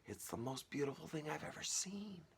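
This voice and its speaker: A man voicing a timid, spellbound small boy from a storybook in a quiet, breathy murmur. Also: on the high side, animated, normal speed, voice pushed up, full character voice. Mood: awed.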